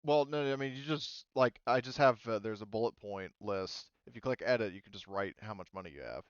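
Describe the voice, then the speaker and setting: A man's voice: lowish voice, brisk, clean tone, no echo, highs cut off. A man running an online tabletop roleplaying game as game master, talking casually in a hesitant, rambling way with trailing thoughts.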